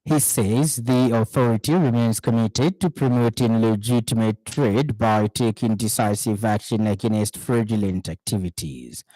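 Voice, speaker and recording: A man reading a scripted radio news bulletin in a steady, formal manner from a studio. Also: on the low side, measured pace, few pauses, no room sound, rough recording. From Zambia. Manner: steady formal newsreading, lists read item by item. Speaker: news anchor.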